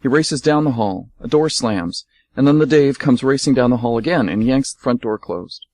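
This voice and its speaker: Male narrator, steady and measured, mid pitch, dry close recording. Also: dry recording. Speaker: radio drama narrator. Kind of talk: scripted radio play narration.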